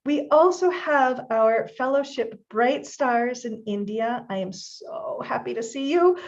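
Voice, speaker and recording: A woman with a somewhat high voice hosts a live online event. She greets viewers brightly and speaks right to them. The sound is muffled, like stream audio. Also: animated, measured pace. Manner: upbeat, addresses audience directly. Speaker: host. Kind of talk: live-streamed webinar hosting.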